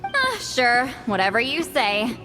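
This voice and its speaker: A woman's voice: on the high side, wildly swooping pitch, slow, music in the background, full character voice, rough recording. A woman voicing a boastful, short-tempered, feared shaman woman in an anime-style video game. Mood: brash, dismissive. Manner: boastful, huffy.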